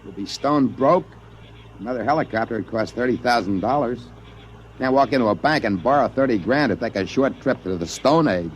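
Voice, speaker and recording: An actor playing an anxious, grumbling, plain-spoken expedition partner with a folksy manner in a 1950s radio drama. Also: male voice, mid-range voice, animated, a little fast, lightly acted. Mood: worried, grumbling. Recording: noisy vintage radio recording.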